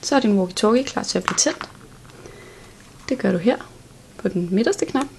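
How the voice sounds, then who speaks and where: A woman's voice: mid-range voice, expressive, darkish tone, a little hiss or hum. A woman giving an instructional product walkthrough in a plain, step-by-step way. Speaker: product demonstrator.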